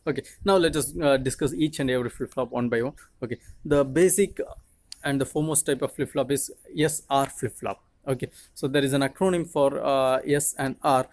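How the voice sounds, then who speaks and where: Halting Tamil-accented Indian man teaching. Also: medium pitch, fairly even intonation, dry recording. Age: 20s. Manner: halting, repetitive, frequently checking with "okay". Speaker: teacher, video channel host. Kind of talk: explanatory lecture.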